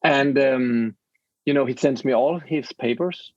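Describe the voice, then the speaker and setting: A man's voice: mid-range voice, a little slow, no echo. A man, a podcast guest telling a story casually in English, searching for words and restarting his sentences. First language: Danish. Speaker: podcast host. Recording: dull lo-fi dry sound.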